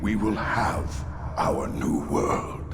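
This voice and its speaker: An actor playing a bitter, defiant outcast merchant lord in a video game, speaking in a grandiose, theatrical way. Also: male voice, low voice, expressive, takes it slowly, vocal fry, whispered, full character voice. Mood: defiant, dying. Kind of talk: dramatic video game acting. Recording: game dialogue over background music.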